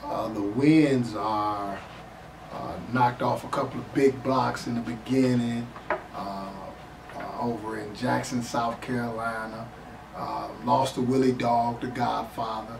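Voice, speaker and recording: A hoarse man in his forties from the Chicago area, a drag racer answering interview questions with many fillers. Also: medium pitch. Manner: halting, many fillers, flat delivery. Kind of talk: casual interview. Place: small studio office. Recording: echoey room, mic far off, faint noise.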